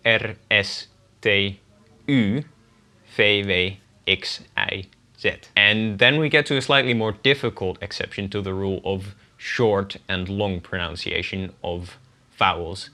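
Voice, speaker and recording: Dutch guy, kinda low voice but bright, walking through examples one by one. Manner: clear, methodical explaining with spelled-out examples. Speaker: guest language teacher. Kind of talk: scripted pronunciation lesson.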